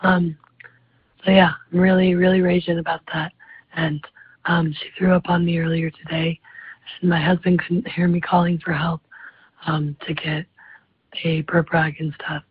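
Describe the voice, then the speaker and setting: A woman caller with laryngitis, her voice raspy and almost gone, complaining wearily in a voicemail. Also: fairly low pitch, flat delivery, moderate pace, no room sound, phone-quality sound. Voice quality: raspy whispery. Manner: weary, complaining. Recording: phone voicemail.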